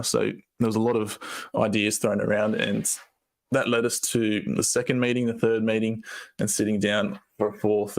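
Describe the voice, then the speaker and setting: Low, gravelly man, croaky voice, rambling casual presenter. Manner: loose, rambling, with fillers and restarts. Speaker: co-founder presenting update.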